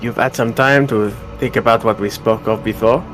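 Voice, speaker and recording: A man playing an intense, devout believer who speaks in a measured, earnest way in an amateur visual novel drama. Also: mid-range voice, steady tone, fairly bright tone, faint music behind, natural voice. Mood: earnest, persuasive. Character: Benoit, intense devout man urging faith.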